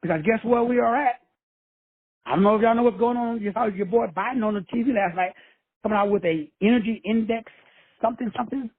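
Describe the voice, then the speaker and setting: A man's voice: high-pitched, fast, slightly rough, no room sound. A man from Texas hosting a call-in show, preaching profane motivation with swagger and slang. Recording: tinny phone line, dry.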